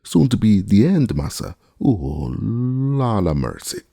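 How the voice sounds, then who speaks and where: A deep-voiced reader puts on a stubborn, superstitious old male servant, lifting his voice well above his own into a drawling, pleading dialect. The tone turns husky and gravelly underneath, and the recording is dry and close. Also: animated, unhurried, mellow, dry recording, exaggerated voice. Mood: wheedling. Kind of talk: audiobook character voice.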